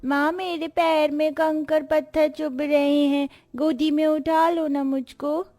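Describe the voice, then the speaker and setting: A woman voicing a tired, pleading little girl in a cartoon story, soft and childlike. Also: sits high, little pitch movement, takes it slowly, faint music behind.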